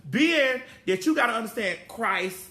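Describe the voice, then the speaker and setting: A woman's voice: on the high side, animated, moderate pace, pushed and bright. A woman in her forties hosting a casual talk show, profane and preachy, drawling out her stories. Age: 40s. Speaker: host.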